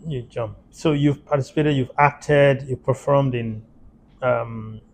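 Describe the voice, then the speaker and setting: Man, Nigerian accent, gravelly voice, interview host, halting speech with restarts. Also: mid-range voice, steady tone, darkish tone, slight background noise. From Nigeria, Ekiti. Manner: halting, repeats words and restarts, quick to laugh along. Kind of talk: conversational interview.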